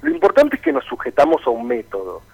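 A man's voice: fairly high pitch, wildly swooping pitch, fast talker, vocal fry, darkish tone, rough recording. A young man with a Buenos Aires accent answering radio interview questions in an articulate, explanatory way. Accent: Buenos Aires Argentine Spanish. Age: young adult. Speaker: political party founder and interviewee.

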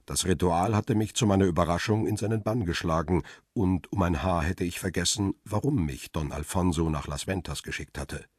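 A man narrating a crime radio play in the first person, calm and reflective, and speaking lines as the paparazzo protagonist. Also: sits low, moderate pace, hardly any pauses, husky, dry recording, clean studio sound.

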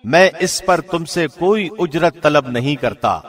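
A man narrating a scripture translation in a formal, measured, solemn announcer's delivery. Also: a little high, lively intonation.

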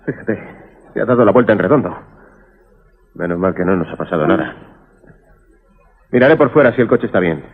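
Calming dad from spain, low lively voice, radio play, tinny old recording. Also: male voice, a little fast, pauses often, slightly rough. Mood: reassuring. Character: reassuring father and husband, stubbornly hopeful driver. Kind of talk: radio drama acting. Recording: noisy narrow-band old radio recording.

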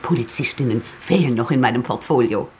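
An actress playing a condescending, businesslike agency boss in a radio drama. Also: female voice, a bass voice, fairly quick, darkish tone, slight background noise. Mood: smug, dismissive.

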